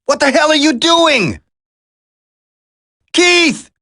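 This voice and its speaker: A man's voice: very high-pitched, sing-song, drawn-out words, bright, forceful tone, no room sound, clean studio sound, exaggerated voice. A man voicing an earnest special forces soldier in a video game, dramatic and urgent. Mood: urgent, concerned. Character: earnest male special forces soldier, determined, worried for comrades.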